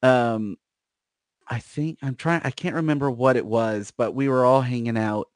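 Male American comedy co-host, medium pitch, loose rambling riffs, casual and crude, dry studio-close sound. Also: moderate pace, clear, resonant tone, no room sound. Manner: rambling, repeats phrase openings, profane riffing. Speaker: podcast co-host. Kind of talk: casual comic chat.

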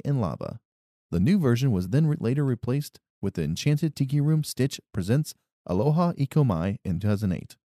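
A man's voice: mid-range voice, mellow, no echo, studio quality. A man co-hosting a podcast, reading a history segment from notes with small stumbles, then chatting casually. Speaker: podcast co-host.

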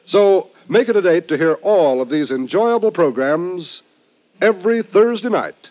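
Old timey radio announcer guy, kinda high animated voice, punchy promo read, muffled lo-fi sound. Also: a little slow. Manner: emphatic, polished promotional delivery. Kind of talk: 1950s network radio announcing. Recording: dull, band-limited old radio recording.

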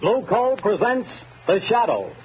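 High-pitched vintage radio announcer man. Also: very animated, measured pace, husky, soft background music. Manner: formal, emphatic, declamatory. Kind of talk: 1930s radio sponsor announcing. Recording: tinny vintage radio recording.

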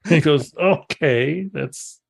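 A man's voice: fairly high pitch, takes it slowly, creaky, slightly rough. A man from the United States, a musician guest on a podcast, talking casually with many fillers.

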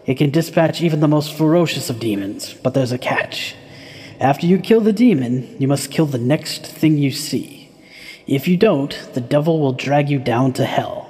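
A man's voice: on the high side, dark tone, close to an everyday voice. A man voicing an elderly wise woman who gives grave, ominous warnings in a folktale, with long reverb on the voice.

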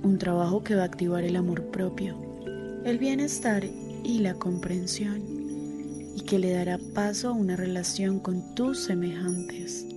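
A woman leads a guided meditation. She speaks slowly at a medium pitch, with music clearly audible behind her.

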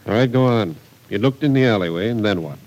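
A commanding police captain with a lowish voice, acted by a man in a vintage radio crime play. He is curt, measured and stern, but fair. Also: expressive, a little mellow, lightly acted, low-quality recording. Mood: questioning. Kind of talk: old-time radio crime drama acting.

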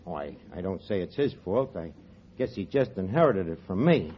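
An actor playing a wisecracking, scheming tavern manager who talks ungrammatically, in a 1940s radio comedy. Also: male voice, low-pitched, expressive, normal speed, a little hiss or hum. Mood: mock-sad. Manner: wisecracking, fake sincerity, ungrammatical. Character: fast-talking scheming tavern manager, middle-aged man. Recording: dull old radio broadcast, faint audience laughter.

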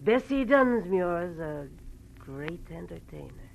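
A woman's voice: lowish voice, very animated, slow, vocal fry, lo-fi sound, loud music behind the voice, exaggerated voice. A woman playing a cornered, proud, bitterly self-mocking society hostess in theatrical 1940s radio drama style. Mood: resigned, bitter. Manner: theatrical, emotional delivery. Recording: noisy vintage radio recording.

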